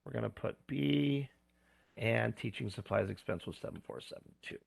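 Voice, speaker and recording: Gravelly man walking through homework. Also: mid-range voice, normal speed, dry recording. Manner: plain step-by-step talk with asides and fillers. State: going hoarse. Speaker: instructor. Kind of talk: screen-recorded homework walkthrough.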